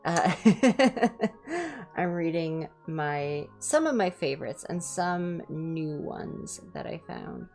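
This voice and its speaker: A woman hosting a livestream, chatting casually in ASMR style with a low-key, rambling, self-deprecating manner. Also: big pitch swings, extremely slow, darkish tone, soft background music. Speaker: livestream host and reader.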